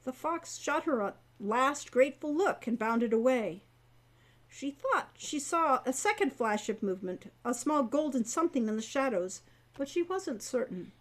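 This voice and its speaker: A woman reading her own young adult fantasy novel aloud as expressive storybook narration. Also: sits high, moderate pace.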